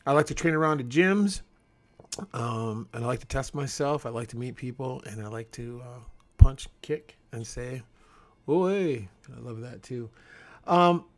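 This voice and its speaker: A man from Chicago hosting his first podcast, talking in a relaxed, upbeat way with plenty of fillers. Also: medium pitch, unhurried. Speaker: podcast host. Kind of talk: casual podcast intro and interview.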